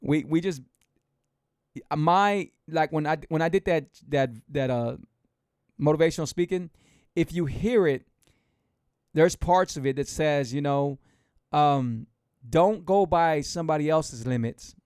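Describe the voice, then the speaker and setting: Man, late 40s, livestream motivator: loose, repetitive, pep-talk energy, slightly high voice, dry close sound. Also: unhurried, pauses often, clean tone, dry recording. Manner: rambling, repetitive, animated and encouraging. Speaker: motivational speaker.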